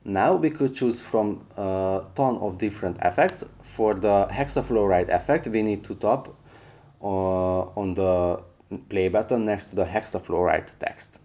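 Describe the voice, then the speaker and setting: A man's voice: on the low side, unhurried, warm tone. A man hosting a step-by-step app tutorial, narrating instructions directly to viewers. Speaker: tutorial host.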